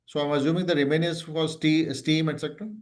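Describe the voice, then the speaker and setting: A man's voice: fairly high pitch, flat delivery, fast. Indian man moderating a business call. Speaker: earnings call moderator relaying investor questions. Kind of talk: business question-and-answer call.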